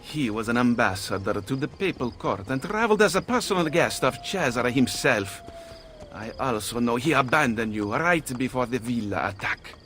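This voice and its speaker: Guy acting sardonic thief in game cutscene, suspicious, very expressive, some music behind. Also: medium pitch, moderate pace, close to an everyday voice. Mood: accusing. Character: suspicious, sardonic male Italian master thief.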